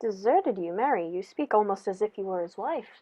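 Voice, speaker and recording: A woman playing a composed, shrewd male detective in a radio play, with a measured, formal, probing way of speaking. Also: mid-range voice, animated, warm tone, muffled recording, close to an everyday voice. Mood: shrewd, assured.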